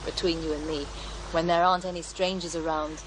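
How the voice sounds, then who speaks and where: An actress in an audio drama playing a middle-aged commune healer, wry, earthy and matter-of-fact, gently teasing. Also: female voice, sits low, flat delivery, a little fast, natural voice. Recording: noisy outdoor ambience bed.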